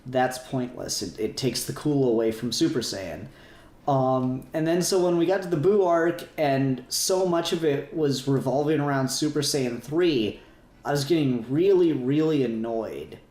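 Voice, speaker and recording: A man's voice: mid-range voice, unhurried, darkish tone. Rambling male podcast host in a roomy space. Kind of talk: casual fan discussion podcast.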